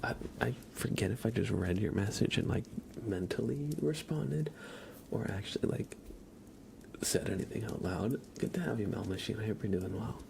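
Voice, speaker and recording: A man hosting an ASMR livestream, chatting casually in a breathy whisper. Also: fairly low pitch, lively intonation, moderate pace, noisy background. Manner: casual chat, greeting viewers by name. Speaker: livestream host.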